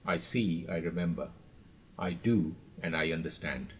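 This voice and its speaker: A man's voice: deep voice, normal speed, a little hiss or hum. A middle-aged or older man with an Indian accent answering interview questions as a guest trainer, telling anecdotes and repeating phrases for emphasis. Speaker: audio and radio trainer, podcast guest. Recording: dull, lo-fi call audio.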